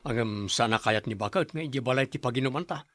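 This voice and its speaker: A man's voice: medium pitch, fast talker, a bit bright, dry recording, natural voice. A man playing a henpecked, hard-drinking husband in an audio drama, grumbling and protesting in a defensive manner. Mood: sociable. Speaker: voice actor in a drama. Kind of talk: Ilocano audio drama acting.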